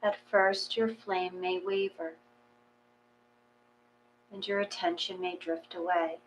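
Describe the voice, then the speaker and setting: A woman reading a guided meditation in a gentle, measured, calming way. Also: lowish voice, low-quality recording. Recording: clear mains hum.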